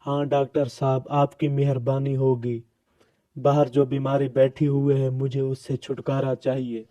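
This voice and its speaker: A man's voice: medium pitch, level tone, a little slow, mellow, dull audio, lightly acted. A man playing a pleading, exasperated husband and father in a cartoon. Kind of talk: cartoon dialogue.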